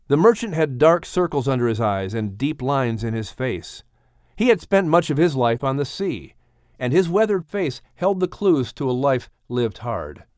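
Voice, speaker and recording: A man narrating an adventure story for children with expressive, theatrical storytelling delivery. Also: medium pitch, no room sound, studio quality. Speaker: audiobook narrator. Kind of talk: dramatic scripted storytelling.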